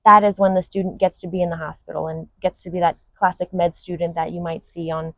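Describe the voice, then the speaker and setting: A woman's voice: fairly low pitch, level tone, quick pace, fairly bright tone, dry recording. A young woman from Hawaii, a veterinary student, speaking fluently as an interview guest. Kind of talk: unscripted interview.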